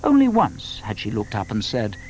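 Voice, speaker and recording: A British man narrating a children's audio drama as a wry, measured storyteller. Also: fairly low pitch, huge pitch swings, darkish tone, a little hiss or hum, poor audio.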